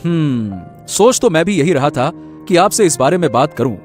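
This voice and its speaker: A man's voice: a little high, sing-song, normal speed, darkish tone, soft background music. A man playing a respectful factory manager in a cartoon story, explaining things deferentially to his boss. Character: deferential, trusted male factory manager and devoted father.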